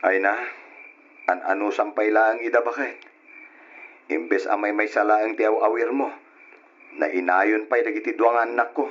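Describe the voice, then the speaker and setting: A man's voice: fairly low pitch, fairly even intonation, moderate pace, long pauses, a bit bright. An actor playing a weary, devoted working husband and father in a radio drama, earnest and plaintive. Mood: questioning, uneasy. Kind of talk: old-style radio drama acting. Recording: muffled, hissy old radio drama recording.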